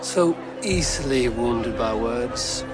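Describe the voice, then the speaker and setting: An actor playing a cool, self-assured Viking raider with a dry, taunting wit in a video game. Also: male voice, medium pitch, animated, slow. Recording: game audio with music behind.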